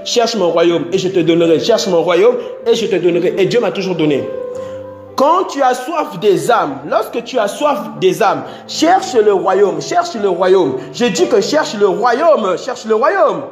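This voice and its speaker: Man, sermon, high pitch, repeats phrases, echoey room. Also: sing-song, unhurried. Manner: repetitive, exhorting, swings between loud and soft. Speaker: preacher. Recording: echoey room with faint music.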